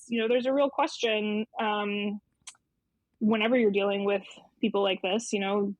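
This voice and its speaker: A woman's voice: mid-range voice, no room sound. A woman talking conversationally as an editor in an interview, thoughtful and often circling back to rephrase. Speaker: magazine editor being interviewed. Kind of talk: reflective conversational explanation.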